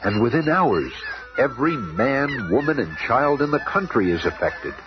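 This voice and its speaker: An actor playing a grave, dramatic narrator of a superhero radio serial, with a solemn theatrical manner. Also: male voice, medium pitch, sing-song, normal speed, close to an everyday voice. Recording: noisy muffled old radio recording.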